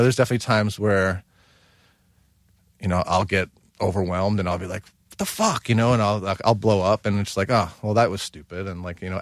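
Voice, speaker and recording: Male chef, lower register, rambling casual talker, dry close mic. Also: dry recording. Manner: rambling, full of "like" and "you know". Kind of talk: casual chat.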